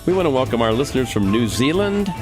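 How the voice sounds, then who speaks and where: A man's voice: mid-range voice, very animated, fast. A man hosting a comedy radio show in a scripted, chatty style. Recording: clear music bed behind.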